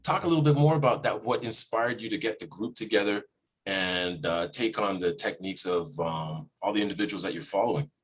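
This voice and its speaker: A man with a husky voice hosting a podcast, chatting and telling stories in a casual, animated interview style. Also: on the low side, brisk, rough recording. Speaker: podcast host interviewing a guest.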